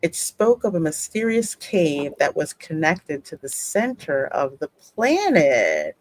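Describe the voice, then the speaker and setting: A woman's voice: sits low, huge pitch swings, no room sound, low-quality recording. A woman hosting a gaming channel, narrating a story game and adding her own spoken asides. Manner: reads narration slowly, reacting aloud in asides. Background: music behind the voice.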